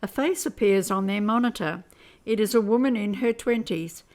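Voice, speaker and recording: Mid-pitched female radio play narrator. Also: normal speed, darkish tone.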